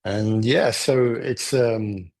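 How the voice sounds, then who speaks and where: A middle-aged man with an English accent, speaking as a guest in a relaxed interview, thoughtful and prone to restarts. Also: mid-range voice, very slow. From United Kingdom. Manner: thoughtful, rambling with frequent restarts. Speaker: guest photographer. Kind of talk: casual interview conversation.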